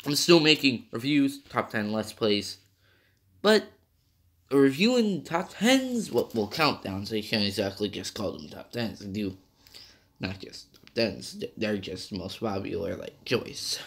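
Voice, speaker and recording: A man's voice: on the low side, very animated, measured pace, fairly bright tone. Rambling young man, slightly nasal. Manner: rambling, self-correcting, many fillers. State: partly sick, slightly nasal. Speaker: game reviewer. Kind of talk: casual video game review.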